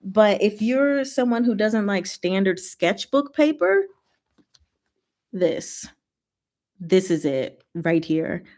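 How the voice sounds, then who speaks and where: A woman hosting a casual, rambling livestream chat with viewers, talking in an expressive, playful way. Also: mid-range voice, slow, pauses often. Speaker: livestream host answering chat.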